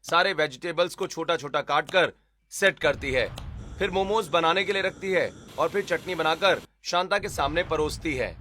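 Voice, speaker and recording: A man reads a scripted household story as narrator. His voice is bright and sharp and sits a little high. There is faint noise in the background. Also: moderate pace.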